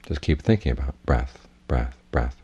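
A man with a husky voice giving a guided meditation talk as a Buddhist teacher, in measured, plainspoken instruction. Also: rumbling low, animated, dark tone, highs cut off.

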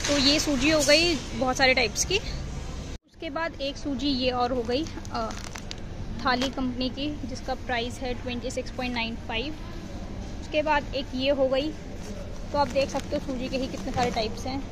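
A woman's voice: high voice, steady tone, normal speed, noisy background. A woman in her thirties walking a supermarket with a phone camera, casually reading out prices as she shops. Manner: chatty, walks through aisles reading out prices. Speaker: vlogger. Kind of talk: casual shopping vlog. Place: supermarket aisles, indoors.

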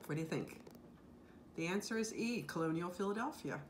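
A woman's voice: fairly low pitch, brisk. A middle-aged woman reading a multiple-choice quiz question as a librarian in a home kitchen. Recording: noisy home recording.

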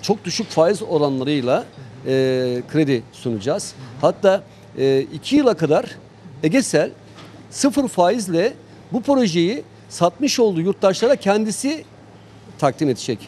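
A man speaking as a company chairman in an interview, in a measured, halting way with frequent pauses between phrases. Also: high voice, big pitch swings, a little mellow, slight background noise. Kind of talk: on-site promotional interview.

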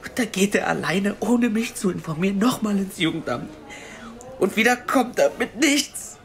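A man's voice: high-pitched, lively intonation, moderate pace, creaky, a bit bright, faint music behind, heavily acted. A stammering, upset young man. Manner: agitated, stammering, whispering. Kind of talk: audio drama acting.

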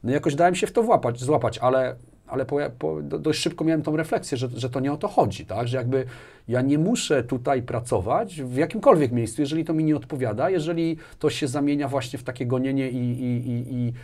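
A man's voice: medium pitch, a little fast, a little mellow. Man chatting as an interview guest. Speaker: interview guest, business manager. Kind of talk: conversational interview, personal anecdotes. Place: small furnished studio, indoors. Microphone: lapel mic.

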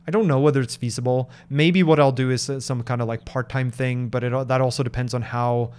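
A man in his thirties from Norway, answering viewer questions in a casual live stream, thoughtful and halting, with lots of fillers and restarts, speaking into a desk microphone in a home office. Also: mid-range voice, steady tone, darkish tone, clean tone. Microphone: large microphone on desk in front.

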